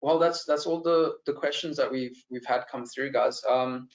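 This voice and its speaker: A man's voice: medium pitch, moderate pace. South african guy running a finance webinar, easygoing, lots of ums and repeats, echoey room. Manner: relaxed and friendly, with frequent fillers and repeated words. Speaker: financial adviser hosting a webinar. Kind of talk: presenting a live online seminar, answering audience questions.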